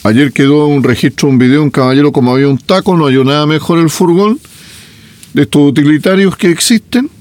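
A man's voice: fairly high pitch, brisk, a little mellow, dry recording. Chilean man reading local radio news. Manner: chatty, folksy asides between news items.

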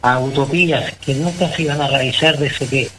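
A man's voice: medium pitch, a little fast, sharp tone, poor audio. Rambling opinionated Chilean man on radio. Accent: Chilean Spanish. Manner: rambling, opinionated, many restarts. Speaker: radio show co-host. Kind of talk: casual political talk on radio.

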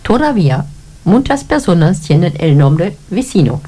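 A woman's voice: lowish voice, sing-song, measured pace, warm tone, husky. A woman with a foreign accent, a non-native Spanish speaker chatting in simple, careful phrases. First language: not Spanish. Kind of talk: casual conversation.

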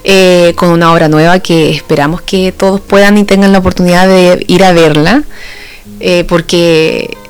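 A woman's voice: fairly low pitch, fairly even intonation, normal speed, smooth, soft background music. A woman from Chile with a Chilean accent, speaking as a guest in a casual radio interview. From Santa Cruz, Chile. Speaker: guest, folk ballet dancer.

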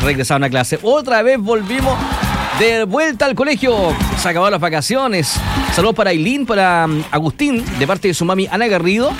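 A Chilean man hosting a morning radio show, joking and teasing callers in a sing-song way. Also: high-pitched. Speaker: radio host. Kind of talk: playful morning radio banter. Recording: radio broadcast over loud music.

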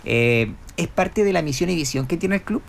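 A man's voice: fairly high pitch, lively intonation, normal speed. A Chilean man in his thirties hosting a live radio interview in an effusive manner. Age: 30s. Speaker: radio host. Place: radio studio. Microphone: close studio microphone. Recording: clearly noisy background.

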